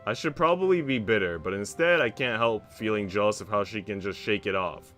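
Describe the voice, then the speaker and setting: A man's voice: lowish voice, brisk, fairly bright tone. A young man streaming a visual novel in a laid-back, slangy way, switching between casual chat and reading aloud. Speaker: game streamer. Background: music.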